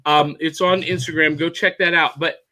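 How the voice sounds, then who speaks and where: Excited bright-voiced man. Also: fairly high pitch, moderate pace, rough recording. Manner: enthusiastic, rambling, showing off finds. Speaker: co-host. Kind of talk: casual chat.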